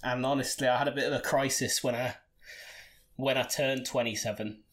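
A chatty man in his late twenties hosts a casual podcast from his bedroom. His voice sits at a middle pitch, and he stumbles and restarts often, laughing easily between his thoughts. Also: steady tone, a little fast, a bit bright. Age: 20s. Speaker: podcast host.

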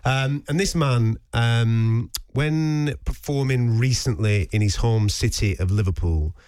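Radio sports host, brisk and matey, mid-pitched everyday voice. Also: male voice, clear, resonant tone. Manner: energetic, friendly, uses mate.

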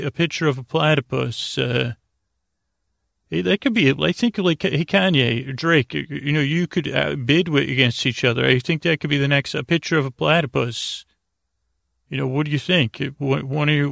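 Man, gravelly creak, rambling sleep podcast host, meandering and digressive, dry close sound. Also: on the high side, a bit bright, dry recording. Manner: meandering, circles back, loses his thread. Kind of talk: rambling bedtime podcast monologue.

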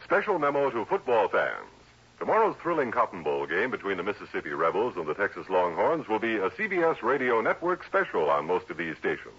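American guy announcer, old radio promo, animated low-ish voice, slightly muffled recording. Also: fairly quick, husky. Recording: dull vintage radio recording.

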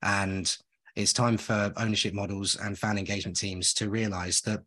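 A man's voice: on the low side, little pitch movement, moderate pace, sharp tone. A man co-hosting a podcast in casual conversation, enthusiastic and rambling, speaking straight to his listeners. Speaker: podcast co-host.

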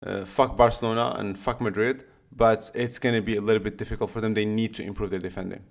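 A man's voice: fairly low pitch, fairly even intonation, fast, creaky. A man co-hosting a podcast, talking casually about football in a blunt, opinionated way with casual swearing. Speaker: podcast co-host.

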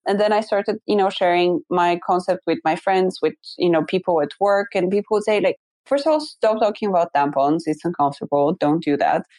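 Woman with a low voice, reflective founder telling her story in an interview, really dry close sound. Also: a little fast, hardly any pauses, darkish tone, no room sound. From Bulgaria. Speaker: interview guest, company founder.

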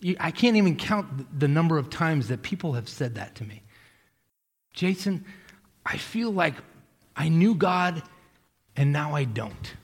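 A man's voice: on the high side, sing-song, measured pace, long pauses, husky. A man from Massachusetts preaching a casual, joking sermon as pastor, often restarting his sentences. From Massachusetts, USA. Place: church sanctuary. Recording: hall reverb.

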